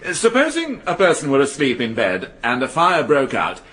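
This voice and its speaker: An actor playing a formal, probing British prosecuting barrister who questions in a measured way in a radio courtroom drama. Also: male voice, medium pitch, wildly swooping pitch, fairly bright tone. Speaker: prosecuting counsel.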